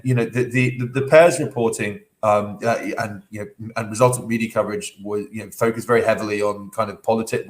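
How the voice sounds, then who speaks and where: A man's voice: fairly low pitch, low-quality recording. A man co-hosting a podcast, talking in a rambling way with many fillers and restarts and scornful asides. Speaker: podcast co-host. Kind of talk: casual podcast commentary.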